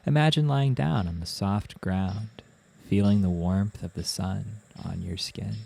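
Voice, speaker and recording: Slow, deep-voiced man guiding meditation. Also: creaky. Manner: soothing, gentle, unhurried instruction with long pauses. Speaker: meditation guide. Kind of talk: guided meditation narration.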